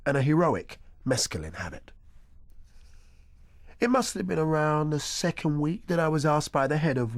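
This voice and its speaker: A man playing a nostalgic, washed-up supply teacher, telling his story as a deadpan scripted comic monologue. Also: medium pitch, husky, no echo.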